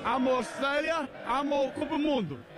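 A male football fan, a native English speaker, talking haltingly in a second language. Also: extremely high pitch. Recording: loud crowd and music. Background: loud crowd and music.

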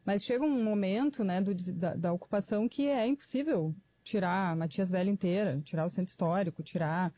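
Woman academic, calm, measured, mellow tone. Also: medium pitch, dry recording. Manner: measured, thoughtful explanation. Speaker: professor, panel guest.

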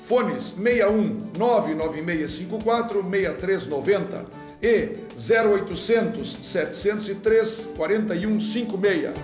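A man hosts a radio music program with a southern Brazilian accent. His voice sits fairly high, and he reads links and sponsor copy in a courteous, formal, measured way. Light music plays beneath him. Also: lively intonation, a little mellow. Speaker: radio music show host. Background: music under the voice.

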